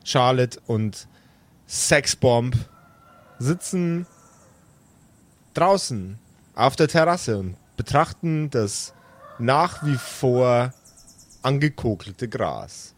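Male game master for an improvised audio play: playful, casual narration with lively pitch. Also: very slow, pauses often.

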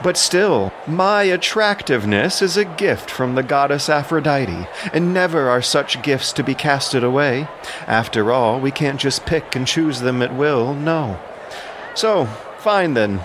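A man's voice: medium pitch, lively intonation, unhurried, background noise, pitched above the performer's own voice, close to an everyday voice. A man playing a vain, self-justifying handsome prince in an audio drama. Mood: vain, defensive.

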